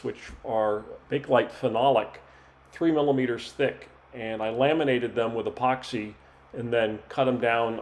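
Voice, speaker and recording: A man's voice: medium pitch, darkish tone. An older man giving a casual, explanatory hobby demonstration in plain narration. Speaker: hobbyist presenter demonstrating a restored radio.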